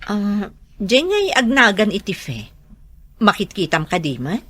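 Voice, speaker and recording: Animated, concerned middle-aged aunt. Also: female voice, medium pitch, unhurried, full character voice. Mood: concerned, probing. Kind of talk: radio drama acting.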